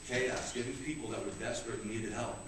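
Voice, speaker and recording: A man's voice: medium pitch, fairly quick. Man speaking in a very echoey room. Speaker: police captain presenting a commendation. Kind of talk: public award speech. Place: indoor public meeting room. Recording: echoey room, distant mic, clear background noise.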